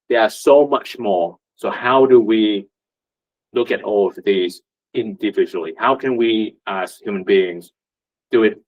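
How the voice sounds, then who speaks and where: Man, low voice, chatty meetup talk, muffled lo-fi audio. Union rep type. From Vietnam. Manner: conversational, many rhetorical questions and fillers. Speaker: union representative. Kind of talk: presentation at a meetup. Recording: muffled lo-fi recording.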